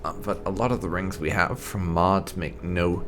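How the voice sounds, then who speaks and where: A man's voice: low-pitched, steady tone, normal speed. A man giving casual, rambling roleplay commentary on a video game, explaining his choices in asides. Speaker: gaming video host. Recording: game music behind the voice. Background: video game music.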